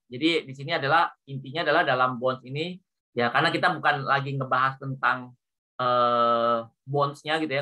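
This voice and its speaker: A man's voice: mid-range voice, bright, forceful tone. A man with an Indonesian accent lecturing informally as a teacher, explaining worked problems step by step and often repeating points with small confirming tags. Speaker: accounting lecturer.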